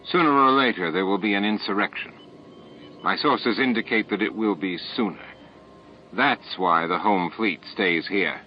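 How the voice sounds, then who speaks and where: Low-voiced, commanding military ruler, read by a man. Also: moderate pace, a little hiss or hum, lightly acted. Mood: commanding, confiding. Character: commanding, suspicious male alien military leader. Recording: dull, lo-fi cassette-like sound.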